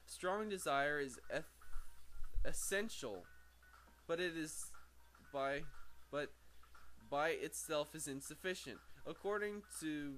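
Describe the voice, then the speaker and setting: A man's voice: a little high, slow pace, long pauses, a bit bright, a little hiss or hum. A man reading aloud from a book as an amateur narrator, stumbling over words, correcting himself and dropping in casual asides. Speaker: amateur audiobook reader.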